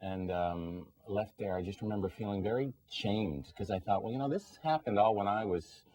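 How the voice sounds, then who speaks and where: A man's voice: on the low side, moderate pace, mellow. A man speaking earnestly and conversationally as a guest answering interview questions.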